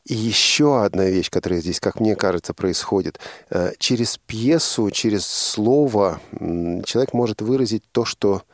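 A man's voice: on the low side, moderate pace, hardly any pauses, no echo, clean studio sound. A man hosting a conversational radio interview, talking in a discursive, opinionated way and qualifying his own remarks. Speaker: radio host. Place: radio studio.

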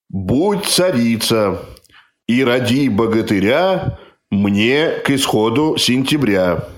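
A man playing a stately, commanding fairy-tale tsar in measured, declamatory verse for an amateur audio play. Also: mid-range voice.

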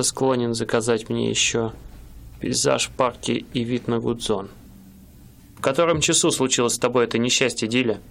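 An actor playing a gentle, wry young painter husband in a radio play. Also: male voice, mid-range voice, creaky. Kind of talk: radio drama acting.

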